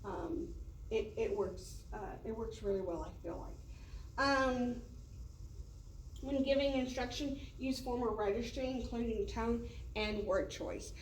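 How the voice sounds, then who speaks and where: A woman's voice: fairly high pitch, measured pace, slight background noise. A woman teacher talking casually to colleagues in a meeting room, loose and chatty with many fillers. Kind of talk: casual professional development talk. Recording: roomy echo, heard from a distance.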